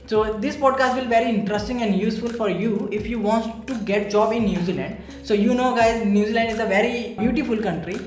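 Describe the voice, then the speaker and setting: A man's voice: sits high, a little fast, hardly any pauses, reverberant. A man from India hosting a casual podcast interview, chatty and full of "so" and "you know". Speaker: podcast host.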